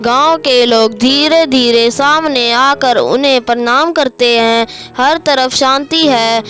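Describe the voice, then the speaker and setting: A woman's voice: high-pitched, slow pace, fairly bright tone. A woman narrator reading a scripted horror story. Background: music.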